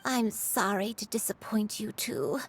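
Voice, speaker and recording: Woman acting a frail, halting old lady. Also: medium pitch, a little hiss or hum, close to an everyday voice. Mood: apologetic, wistful. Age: older. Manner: halting, wistful, polite. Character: frail, forgetful, sorrowful old woman, gentle and self-effacing. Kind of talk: video game voice acting.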